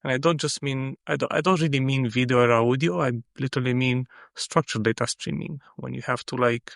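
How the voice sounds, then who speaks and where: A man from Romania, a freelance software engineer, talking as a guest in a casual interview, thoughtful and full of "you know" fillers. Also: medium pitch, a little fast, creaky, no room sound, clean studio sound.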